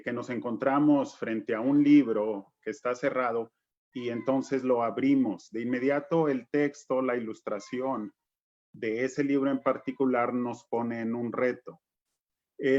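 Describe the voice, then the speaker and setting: A middle-aged man speaking as a panelist in a measured, reflective way, like someone telling a story. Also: medium pitch, darkish tone, muffled recording. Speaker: panelist and reading promoter.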